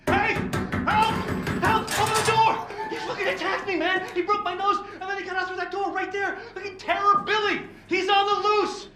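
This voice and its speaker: An actor playing a fast-talking, profane and slangy rebel who poses as a lawyer in a video game cutscene. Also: male voice, very high voice, flat delivery, over music. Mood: frantic. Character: fast-talking sweary rebel posing as a lawyer, wild and wired. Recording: roomy echo.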